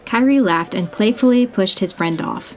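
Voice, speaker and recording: A woman's voice: mid-range voice, moderate pace, darkish tone. A woman reading scripted narration for a fantasy audio story.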